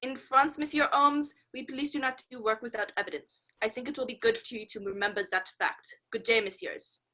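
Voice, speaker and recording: A haughty French police inspector in a radio play, acted by a woman. The voice is fairly high with a hard, bright edge, formal and quick to indignation. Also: steady tone. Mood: indignant.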